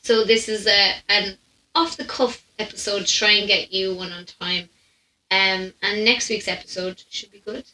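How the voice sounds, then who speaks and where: Chatty young Irish woman, bright voice. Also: mid-range voice, steady tone, moderate pace. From Ireland. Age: young adult. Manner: chatty, rambling with asides and self-interruptions. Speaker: podcast host. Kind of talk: casual off-the-cuff storytelling. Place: small room at home, indoors. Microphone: microphone on a stand, close in front. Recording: roomy home recording.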